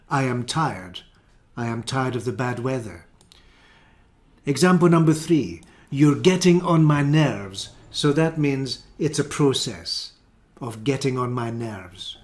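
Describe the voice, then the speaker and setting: A man's voice: mid-range voice, darkish tone. A man teaching an English grammar lesson, carefully explaining and repeating each example sentence.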